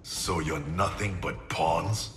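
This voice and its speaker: A man's voice: low voice, fairly even intonation, takes it slowly, heavy background noise. A man playing a wary, blunt ninja warrior in a video game, speaking tersely and intensely. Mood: urgent, intense. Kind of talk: dramatic video game acting. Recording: echoey, distant, under loud score.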